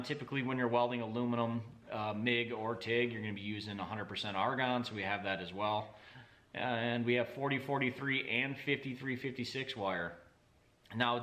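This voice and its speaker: Male, 30s, workshop instructor. Informal, practical delivery, bright and punchy tone. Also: mid-range voice. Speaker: welding instructor, video host.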